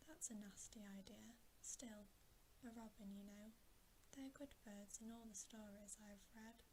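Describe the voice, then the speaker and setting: Woman reading as storybook big brother, schoolboy, earnest, cautious, slow whisper, gentle mellow tone. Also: medium pitch, fairly even intonation, lightly acted, rough recording. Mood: uneasy. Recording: faint background hiss.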